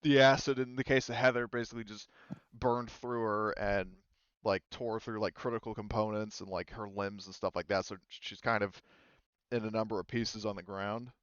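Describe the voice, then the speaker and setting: Game master, male, lowish voice, smooth and dry, casual and rambling. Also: flat delivery, moderate pace, a bit bright, no room sound, lo-fi sound. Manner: casual, filler-heavy explaining of rules. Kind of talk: running a tabletop roleplaying game online.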